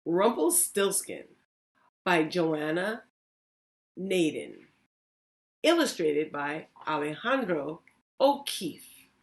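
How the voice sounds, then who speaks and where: A woman hosting storytime, reading a children's story aloud with expressive, deliberate phrasing. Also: on the low side. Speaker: storytime host, reading teacher.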